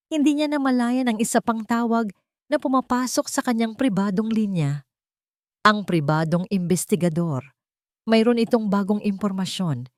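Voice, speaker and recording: A woman's voice: mid-range voice, no echo, clean studio sound. A woman narrator reading a scripted dramatic story.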